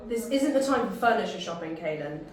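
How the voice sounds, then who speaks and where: A woman's voice: mid-range voice, expressive, fairly quick, crowd noise, natural voice. Woman as a male sergeant, exasperated, echoey. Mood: brusque. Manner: exasperated, brusque.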